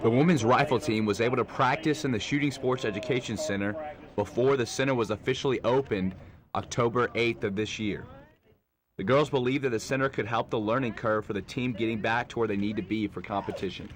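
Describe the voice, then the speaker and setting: A young man reporting a sports story for a student newscast in measured, scripted narration. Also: medium pitch, crowd noise. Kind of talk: news package narration.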